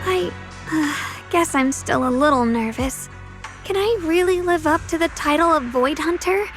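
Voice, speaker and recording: Woman acting a very high-voiced earnest young heroine. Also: normal speed, faint music behind, natural voice. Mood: nervous, humble. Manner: sincere, hesitant, eager. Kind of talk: anime-style game dialogue.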